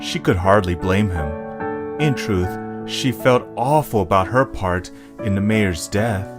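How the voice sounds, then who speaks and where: A man narrates a scripted tale. His voice sits a little low, and soft music plays behind him as he reads. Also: a little slow. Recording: music under the voice. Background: background music.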